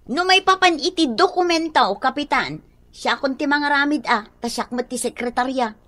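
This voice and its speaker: A woman's voice: high-pitched, moderate pace, fairly bright tone. A woman with a Filipino accent playing an eager, easily flustered village secretary in a radio drama.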